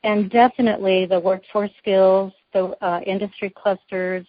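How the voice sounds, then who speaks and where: A woman's voice: mid-range voice, flat delivery, normal speed, no echo. A woman guest on a phone conference call, explaining policy in an emphatic, methodical way. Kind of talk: explanatory political talk. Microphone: telephone. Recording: dull lo-fi phone conference line.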